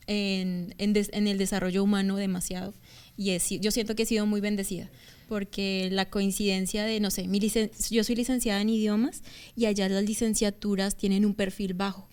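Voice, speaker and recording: Woman, thirties, Bogotá Colombian accent, smooth resonant voice, chatty, hesitant fillers, TV interview guest. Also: medium pitch, a little mellow. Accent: Bogotá Colombian Spanish. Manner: talkative, hesitant fillers, frequent restarts. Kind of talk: conversational interview testimony. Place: TV studio.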